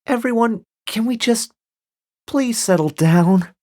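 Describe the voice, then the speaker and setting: A woman's voice: on the high side, animated, slow pace, mellow, no echo, clean studio sound. A woman playing a weary, placating witch in an audio drama. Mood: pleading. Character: weary peacemaking witch pleading for calm. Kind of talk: audio drama character acting.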